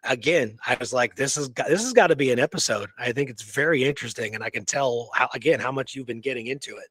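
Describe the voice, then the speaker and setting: A man co-hosting a history show, talking off the cuff in a halting way with emphatic repetition. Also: medium pitch, fairly bright tone, slightly rough, no room sound. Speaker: co-host of a history radio show. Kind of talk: unscripted history discussion.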